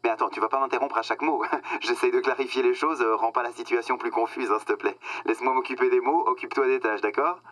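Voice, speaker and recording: Guy acting a smug marketing exec, middling pitch, talks down to you in office buzzwords, radio comedy, very dry recording. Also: steady tone, fast talker, a bit bright, no echo, close to an everyday voice. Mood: patronizing. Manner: patronizing, glib, peppered with English business jargon. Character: smug, condescending corporate marketing man spouting jargon. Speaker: voice actor in a radio drama. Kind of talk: satirical radio comedy dialogue, phone-call scene.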